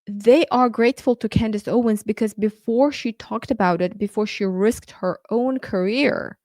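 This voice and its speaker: A woman's voice: on the high side, warm tone, no echo. Uzbek-native woman podcasting solo, earnest, stops and restarts a lot, dry close sound. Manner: earnest, halting with frequent restarts. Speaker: podcast host. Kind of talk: casual monologue.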